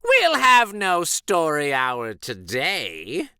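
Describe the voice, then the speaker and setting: A man voicing a scary, strict witch of a schoolteacher, hissing and snapping menacing drawn-out commands in a children's storybook. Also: on the high side, wildly swooping pitch, sharp tone, clean tone, dry recording, studio quality, voice pushed up, heavily acted. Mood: stern threatening.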